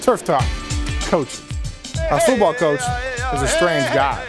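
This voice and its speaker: A man's voice: a little high, big pitch swings, slow. A man reading comic scripted narration for a sports documentary. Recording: loud music behind.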